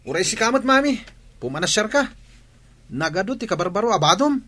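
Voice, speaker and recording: An actor playing a carefree, cheeky young student who talks flippantly and defensively in a radio drama. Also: male voice, sits high, big pitch swings, a little fast, pushed and bright, slight background noise, heavily acted. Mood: flippant, protesting. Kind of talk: regional radio drama acting.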